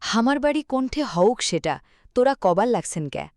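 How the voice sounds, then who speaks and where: A woman's voice: a little high, animated, brisk, a little mellow, lightly acted. A woman voicing a curt, touchy village wife in a cartoon, with a northern Bengali Rangpuri accent. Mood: indignant, defensive. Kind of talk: cartoon dialogue in village dialect.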